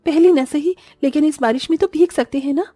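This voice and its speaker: A woman's voice: high-pitched, brisk, mellow, no echo, natural voice. A woman voicing an earnest, polite young daughter-in-law in a cartoon story. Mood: eager, wistful. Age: young adult. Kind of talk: Hindi cartoon morality story acting.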